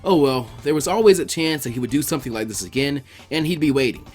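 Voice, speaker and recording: Male narrator for fiction reading, animated and brisk, over background music. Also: medium pitch. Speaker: fan fiction reader, narrator. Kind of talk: scripted story narration, audiobook-like.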